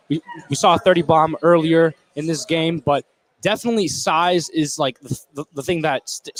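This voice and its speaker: Higher-voiced man giving halting basketball analysis. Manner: halting, repeats words as he thinks aloud. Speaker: color commentator. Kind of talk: conversational live sports analysis.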